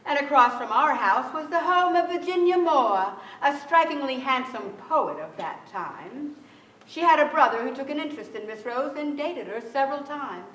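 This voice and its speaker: High-voiced brisk older woman. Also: expressive, a bit bright, a little hiss or hum. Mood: reminiscent. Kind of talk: dramatic staged reading. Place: theatre stage before an audience. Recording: echoey theatre stage.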